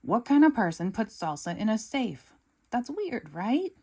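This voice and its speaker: A woman reading a storybook aloud, voicing an excitable, bald male ex-villain turned spy who talks high and loud. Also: a little slow, breathy edge, exaggerated voice. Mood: excited.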